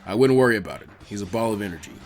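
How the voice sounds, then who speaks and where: A man voicing a gruff, weary, laid-back ninja mentor in a dramatic story reading, with dry, low-key muttering. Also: lively intonation, very fast, lightly acted. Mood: reassuring. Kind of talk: dramatic fan fiction character reading.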